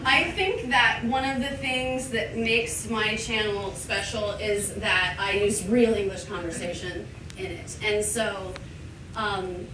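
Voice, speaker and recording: A woman who teaches English, giving a live thank-you speech to a party crowd, warm and laughing often. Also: fairly high pitch. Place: large event space. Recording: roomy, far from the mic, noisy.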